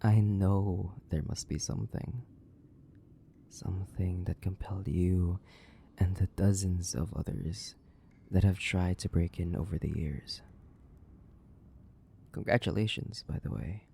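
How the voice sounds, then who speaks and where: A man playing a centuries-old, sadistic vampire in a theatrical horror audio roleplay, condescending and drawling, with a gravelly, breathy voice that slips into whispers. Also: low-pitched, steady tone, measured pace, long pauses, warm tone, slight background noise. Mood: wry, musing. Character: sadistic, centuries-old imprisoned male vampire, mocking and bored. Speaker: voice actor.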